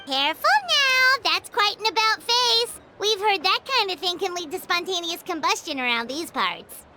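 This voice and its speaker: A woman voicing a tiny, childlike fairy companion in a video game, squeaky, chirpy and cheeky. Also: animated, normal speed, heavy background noise. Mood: teasing. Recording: loud game music behind voice. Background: game background music.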